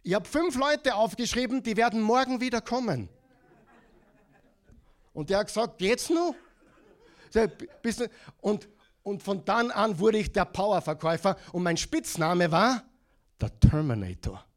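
A middle-aged preacher with an Austrian accent and a fairly high voice. He preaches with lively energy, mixes stories with questions, and has the congregation repeat key words back to him. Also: a little slow, pauses often. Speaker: pastor. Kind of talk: sermon.

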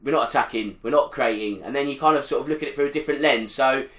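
Hoarse guy hosting a footy podcast, bright forceful voice, kinda echoey room. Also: medium pitch, fast. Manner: upbeat, chatty presenter. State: hoarse from a chest infection. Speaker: podcast host. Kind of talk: casual football podcast chat.

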